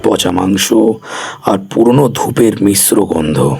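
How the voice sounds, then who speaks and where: A man's voice: on the low side, lively intonation, takes it slowly, creaky, darkish tone. A man narrating a scripted horror story as a storyteller.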